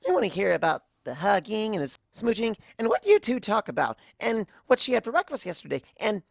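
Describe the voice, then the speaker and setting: A woman voicing an excitable, nosy young female cartoon pony who chatters in gushing, breathless run-on sentences. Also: medium pitch, brisk, creaky, no echo, clean studio sound, pitched above the performer's own voice. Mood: eager, nosy.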